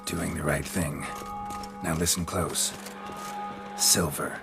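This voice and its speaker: Game role: gruff male monster hunter, deep and gravelly, laconic, unimpressed. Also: takes it slowly, over loud music, heavily acted. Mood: stern, commanding. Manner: terse, clipped, deadpan delivery. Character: gruff, stoic male monster-hunting mercenary in dark fantasy. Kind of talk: video game cutscene acting.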